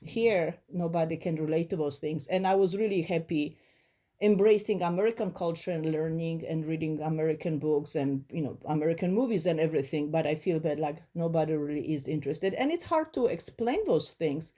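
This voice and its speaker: A Polish-accented woman in her forties answers interview questions in English, her second language. She talks in an earnest, reflective way, with a mellow, warm tone. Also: low-pitched, a little fast. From Poland. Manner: earnest, reflective, slightly non-native phrasing. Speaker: interviewee, first-generation immigrant.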